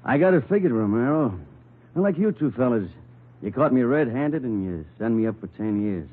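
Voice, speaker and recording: An actor playing a bitter ex-convict in radio crime drama, falsely friendly with a sardonic, insinuating menace. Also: male voice, medium pitch, expressive, moderate pace, lightly acted. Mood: falsely sincere. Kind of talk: 1950s radio crime acting. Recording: muffled vintage radio recording.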